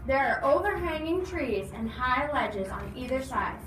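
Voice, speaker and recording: High-voiced woman reading aloud, echoey room. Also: animated, measured pace, fairly bright tone. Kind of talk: expressive read-aloud narration with questions to listeners. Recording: echoey noisy room, distant mic.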